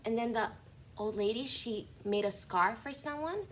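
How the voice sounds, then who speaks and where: A woman kindergarten teacher reading a picture book aloud to young children, expressive and instructive, speaking directly to her listeners on an online class call. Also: a little high, normal speed, slight background noise.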